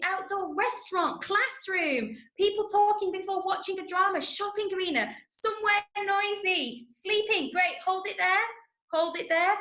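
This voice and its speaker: A woman with an English accent teaching an interactive online drama workshop, giving clear instructions and encouraging pupils as she repeats their answers. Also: very high voice, pushed and bright. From Manchester, UK. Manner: clear step-by-step instructions to children. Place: room, online video lesson. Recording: echoey room sound.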